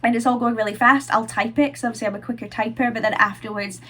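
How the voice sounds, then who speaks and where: A woman's voice: a little high, steady tone, quick pace, a bit bright, slightly rough. A young woman vlogging from her room, chatting casually with plenty of fillers, her nose blocked from a cold. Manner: chatty, lots of "like" and "um". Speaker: vlogger and university student.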